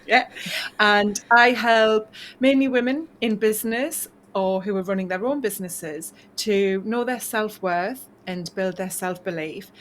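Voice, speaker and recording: A woman's voice: mid-range voice, unhurried, fairly bright tone, slight background noise. A woman from northeast England with a Northeast English accent, a health coach guest speaking earnestly and thoughtfully on a video call, often saying "you know". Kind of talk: casual video call interview. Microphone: video call mic.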